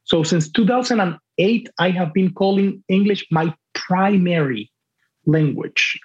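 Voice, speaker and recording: Man, native Spanish speaker, translator, reflective, deliberate restarts, dull lo-fi audio. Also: sits high. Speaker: translator guest. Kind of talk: reflective podcast conversation.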